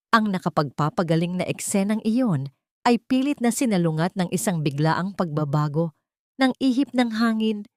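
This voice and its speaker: A woman reading a scripted dramatic story as a narrator, with solemn, expressive delivery. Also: lowish voice, no echo, studio quality.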